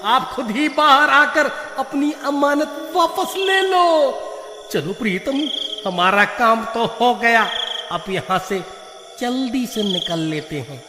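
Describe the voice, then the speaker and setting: A man playing an excitable, cowardly village man in a children's moral story, exclaiming theatrically. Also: very high voice, slow pace, soft background music, heavily acted. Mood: calling out. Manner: loud calling, exclaiming, theatrical. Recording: echoey reverberant sound, faint noise.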